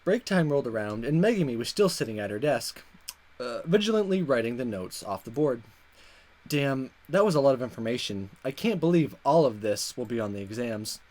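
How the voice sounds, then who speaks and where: A man's voice: medium pitch, big pitch swings, a little fast, darkish tone. A man hosting a gaming video, chatting casually and playfully as he reads a visual novel aloud. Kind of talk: casual let's play commentary and read-aloud narration.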